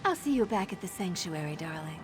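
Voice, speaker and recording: A woman voicing a composed female assassin posing as a servant in a video game, silky and deliberate. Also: lowish voice, sing-song, slight background noise. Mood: coldly menacing. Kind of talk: dramatic video game dialogue.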